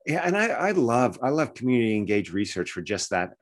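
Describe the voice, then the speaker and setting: A man's voice: medium pitch, very animated, a little fast. A man talking conversationally as an interview guest, explaining things step by step like a researcher.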